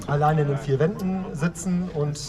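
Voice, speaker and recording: A man's voice: fairly high pitch, moderate pace. Casual, hesitant male emcee at an outdoor street party, crowd around. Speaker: event host. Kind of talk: stage announcement. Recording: crowd noise, distant outdoor mic.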